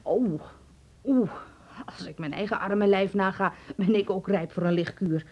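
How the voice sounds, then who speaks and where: A woman's voice: mid-range voice, measured pace. An actress playing a fussing, affectionate middle-aged mother with aches in a Dutch radio drama, scolding yet fond and full of exclamations. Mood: scolding, weary. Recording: dull lo-fi radio play recording.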